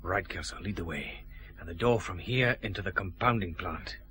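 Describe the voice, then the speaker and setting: An actor playing a shrewd, decisive detective chief inspector with a Scottish accent and a brisk, commanding manner in a radio drama. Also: male voice, mid-range voice, animated, bright, forceful tone, a little hiss or hum.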